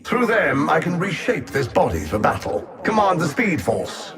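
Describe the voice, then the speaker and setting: An actor playing an arrogant alien supervillain in a video game, coldly menacing and theatrical, with haughty, condescending, precisely worded speech. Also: male voice, a little high, sing-song, moderate pace, a crowd in the background. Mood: imperious command. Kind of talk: scripted video game villain lines.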